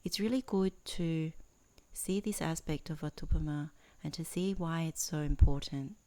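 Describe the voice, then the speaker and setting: A woman teaching in a guided meditation, speaking in measured, earnest phrases with pauses between them. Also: low voice, dark tone. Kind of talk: guided meditation introduction, Dhamma talk.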